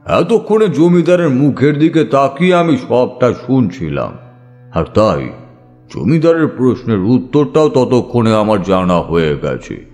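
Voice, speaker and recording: A man's voice: mid-range voice, sing-song, measured pace, darkish tone, soft background music. A man narrating a horror audiobook in a suspenseful, theatrical style. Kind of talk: dramatic scripted narration of horror fiction.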